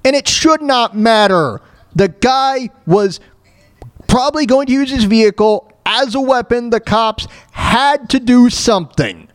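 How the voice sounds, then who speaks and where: A man hosting a talk show, giving blunt, emphatic opinions on news clips in a halting, repetitive, rambling way. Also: very high-pitched. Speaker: show host.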